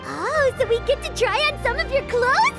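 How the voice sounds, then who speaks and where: A woman's voice: animated, moderate pace. A woman voicing a tiny floating female fairy-like companion who is bubbly and pushy, speaking of herself in third person. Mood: cheerful. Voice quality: high, squeaky, bouncy. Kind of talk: video game voice acting. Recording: game audio with loud music.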